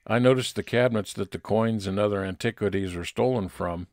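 Man as low-voiced, observant private investigator. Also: a little fast, darkish tone, dry recording, studio quality, close to an everyday voice. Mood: matter-of-fact. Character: Billy, male private investigator, observant and wry. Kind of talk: old-time radio detective narration and dialogue.

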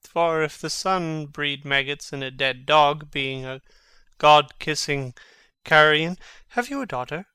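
A man's voice: a little high, a little slow, pushed and bright, clean tone, no echo, clean studio sound. A man playing a mocking, brooding prince who feigns madness, theatrical and sardonic in a Shakespearean dramatic reading.

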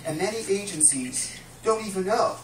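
A Dutch-accented man in his fifties gives a lecture, his fairly high voice reaching the mic from a distance. The small room adds a noticeable echo, and a faint hum sits underneath as he works through his points with frequent "uh"s. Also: expressive, moderate pace. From Netherlands. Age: 50s. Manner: earnest and explanatory, frequent uh fillers. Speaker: guest speaker. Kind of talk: public lecture. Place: small dim room with a projection screen, live audience. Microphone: distant camera mic.

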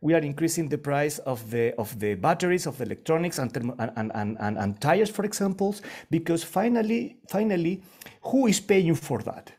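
A man from Chile with a Spanish accent, speaking English as a panelist in an online discussion, earnest and halting with many restarts. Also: fairly high pitch, expressive, darkish tone.

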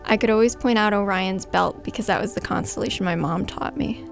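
A woman's voice: mid-range voice, fairly quick, vocal fry. An American woman of about twenty from New Jersey, a college student speaking reflectively in an interview. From New Jersey, United States. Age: around 20. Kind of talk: reflective personal interview narration. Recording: clean voice under loud background music. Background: prominent music.

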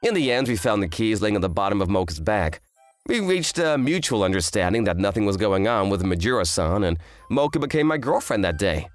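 Earnest, animated anime teenage boy, played by a woman. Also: a bass voice, fairly quick, no echo, faint music behind. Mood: narrating calmly. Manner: earnest, exclamatory. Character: earnest, kind teenage boy, excitable manga enthusiast. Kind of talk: anime-style romantic comedy dub.

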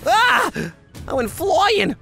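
Male actor as young gangster underling, manga cartoon, excitable, easily scared, chatty, very lively pitch. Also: very slow, vocal fry, soft background music, full character voice. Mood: excited, wailing. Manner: excitable, exclamatory. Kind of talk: animated manga dub dialogue.

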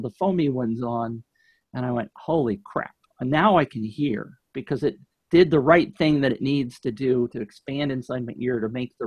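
A man's voice: medium pitch, darkish tone, breathy edge, no room sound. A man in his fifties chatting casually as a podcast guest, giving his take on products into a close studio mic. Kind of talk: casual chat, product review. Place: home office indoors. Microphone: studio microphone on shock mount, close up.

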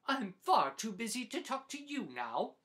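A man voicing a flustered, busy mother goose in a children's storybook, loud and fussy. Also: very high voice, sing-song, a little slow, pitched above the performer's own voice, exaggerated voice.

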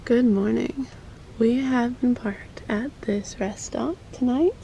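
A woman's voice: fairly high pitch, slow pace, gravelly, muffled recording, slight background noise. A woman in her twenties chatting casually as a travel vlogger into a handheld camera. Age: 20s. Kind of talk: casual vlog chat. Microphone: handheld camera at arm's length. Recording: loud music over handheld outdoor video.

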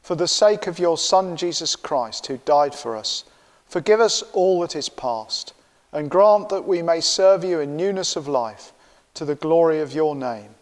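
Older guy leading church prayers, slow, a bit high, echo of a big church. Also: expressive. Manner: measured, solemn recitation. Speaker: minister leading the service. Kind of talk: liturgical prayers and confession. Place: church sanctuary, indoors. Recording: long church reverb.

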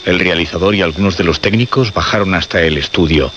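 An actor narrating a radio suspense drama in a grave, measured, dramatic way. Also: male voice, sits low, a bit bright, a little hiss or hum. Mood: grave, suspenseful. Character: grave male narrator of a horror tale. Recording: muffled radio drama recording.

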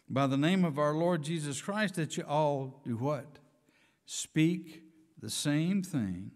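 Older male preacher, higher voice, at a pulpit mic, church reverb. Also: a little mellow. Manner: earnest, emphatic preaching with scripture readings. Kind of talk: sermon. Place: church sanctuary, speaking from a pulpit. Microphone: pulpit microphone.

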